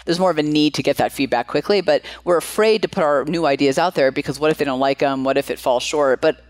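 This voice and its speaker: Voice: medium pitch, quick pace, dry recording. A podcast host interviewing a guest in a friendly way, asking long, winding questions.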